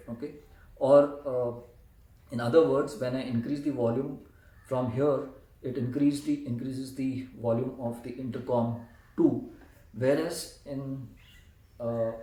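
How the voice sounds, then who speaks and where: Indian-accented man, mid-pitched voice, casual vlog review, hesitant explanatory phrasing, echoey room, faint noise behind. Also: warm tone. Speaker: vlogger reviewing motorcycle gear. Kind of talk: casual product comparison talk.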